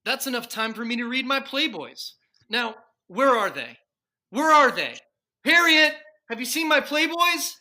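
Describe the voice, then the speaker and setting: A woman plays a put-upon forties sitcom father with a bright, forceful voice that swings all over the place. He grumbles and whines his way through an old-time radio comedy, full of bluster. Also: on the high side, sing-song, moderate pace. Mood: exasperated, demanding. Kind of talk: 1940s radio sitcom parody acting.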